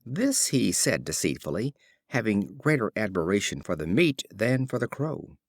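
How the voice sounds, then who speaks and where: A man narrating short fables as an audiobook reader, with an expressive, deliberate storytelling delivery. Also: fairly low pitch, no room sound.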